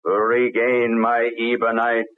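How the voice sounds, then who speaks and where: An actor playing a menacing ancient emperor in a stolen body, formal and imperious in old-time radio drama style. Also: male voice, medium pitch, completely flat delivery, a little slow, slight background noise, close to an everyday voice. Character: menacing ancient male emperor possessing a sea captain's body. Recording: tinny band-limited old radio recording.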